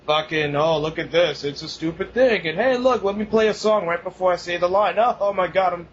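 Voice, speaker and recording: A young man with a fairly high voice co-hosts a casual podcast, rambling and laughing. The recording is dull and lo-fi, with a faint hiss under it. He swears freely as he talks. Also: brisk. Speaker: podcast co-host. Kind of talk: casual chat.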